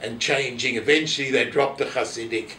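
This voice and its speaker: A man's voice: mid-range voice, fairly even intonation, a little fast, sharp tone. An elderly man with a South African accent, reminiscing in an interview and telling anecdotes in which he re-enacts what people said. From South Africa, family from Poland. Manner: anecdotal, re-enacting quoted remarks. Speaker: interviewee, former synagogue office holder. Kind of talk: reminiscing in an oral history interview. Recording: roomy echo, distant mic, faint noise.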